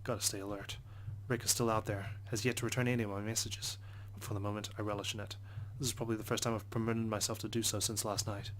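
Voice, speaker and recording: Fast, even-toned man reading aloud. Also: lowish voice, a little hiss or hum, low-quality recording. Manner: earnest, occasional stumbles while reading. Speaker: let's play host reading a visual novel aloud. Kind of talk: narration read aloud in first person.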